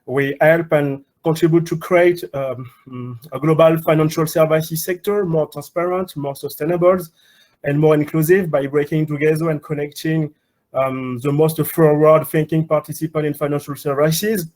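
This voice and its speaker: A man's voice: a little high, fairly even intonation, normal speed, a little mellow. A man presenting his organization in a webinar, hesitant and listing points one by one.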